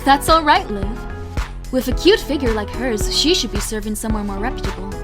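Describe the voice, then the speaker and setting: Woman acting gushing high-pitched glamorous flirt. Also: animated, close to an everyday voice. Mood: amused, admiring. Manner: effusive, teasing, drawn-out flourishes. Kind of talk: audio drama acting. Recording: music under the voice.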